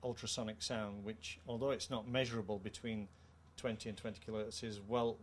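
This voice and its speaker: A middle-aged British man presents technical details in a measured, formal way. His voice sits a little low. He speaks into a handheld mic with some room noise around him. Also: level tone. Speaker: company co-founder presenting a product. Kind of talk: technical product presentation in an interview. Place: indoor trade show room. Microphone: handheld microphone. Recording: clear background noise, show-room ambience.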